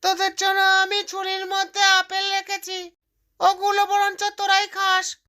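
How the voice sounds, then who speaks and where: A woman's voice: very high voice, monotone, unhurried, sharp tone, exaggerated voice. A woman voicing a little cartoon bird with a childlike, chattering manner. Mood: selfish, firm. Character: greedy, stingy little mother bird and her chirpy chicks. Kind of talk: cartoon character voice.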